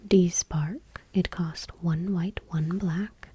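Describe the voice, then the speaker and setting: A woman softly reading aloud for ASMR in a breathy, whispery voice with a hushed, gentle delivery. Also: fairly low pitch, fairly even intonation, slow pace. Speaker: ASMR video host.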